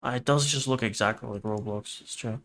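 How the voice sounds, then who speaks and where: A man's voice: medium pitch, a little fast, a bit bright, a little hiss or hum. A man hosting a reaction livestream, chatting casually and bluntly with viewers, sometimes swearing and sometimes reading text aloud. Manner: sweary, blunt, teasing banter with chat. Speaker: livestream host reacting to horror videos. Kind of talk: casual stream chat mixed with reading on-screen text aloud.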